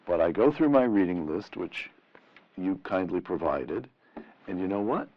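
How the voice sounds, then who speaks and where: A middle-aged man interviewing in a deliberate, rhetorical and emphatic way, on a lo-fi vintage radio style recording. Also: low voice, expressive. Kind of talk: long-form interview questioning. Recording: dull, lo-fi vintage radio sound.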